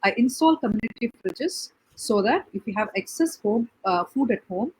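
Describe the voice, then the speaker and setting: Woman, husky and slightly high, earnest guest. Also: expressive, low-quality recording. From Tamil Nadu, India. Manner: earnest, fills pauses with "okay". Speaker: guest, NGO founder. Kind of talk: conversational interview answers.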